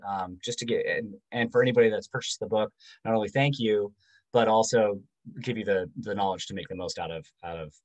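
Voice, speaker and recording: Man, 40s, low voice, author talk over video call, halting and casual. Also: dull audio. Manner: halting, many ums and restarts. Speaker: guidebook author giving a book talk. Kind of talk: casual presentation. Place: home room.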